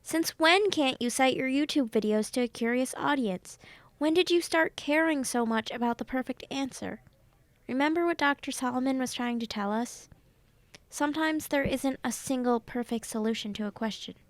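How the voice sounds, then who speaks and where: A woman acting a supportive, sensible young college friend in an amateur audio drama, gentle and conversational. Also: sits high, moderate pace, no echo, natural voice. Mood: reassuring, concerned. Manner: gentle, reassuring.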